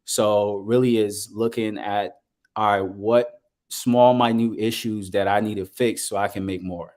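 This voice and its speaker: A man's voice: lowish voice, steady tone, a little mellow. Earnest man from Liberia on a video call. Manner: earnest, measured, with frequent fillers. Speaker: guest, business coach. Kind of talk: casual interview talk.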